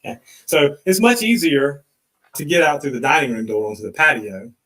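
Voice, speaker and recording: A man working as an attorney, questioning a witness deliberately with leading questions in a courtroom. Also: fairly high pitch, animated, pushed and bright, low-quality recording. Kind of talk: courtroom cross-examination.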